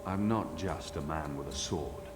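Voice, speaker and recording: A man's voice: extremely low pitch, a little slow, noisy background. An actor voicing a weary former apostle turned swordsman in a video game, speaking bluntly and tersely. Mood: dry. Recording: game audio with echo and music.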